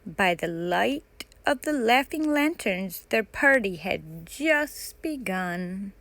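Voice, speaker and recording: A woman's voice: medium pitch, lively intonation, slow, fairly bright tone, no room sound. A woman narrating a children's picture book aloud as a storytime reader.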